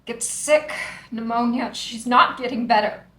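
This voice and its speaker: A woman's voice: on the high side, steady tone, unhurried, sharp tone. A woman playing a blunt, wry, exasperated actress in a screenplay table read. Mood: concerned, direct. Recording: roomy echoing table-read recording.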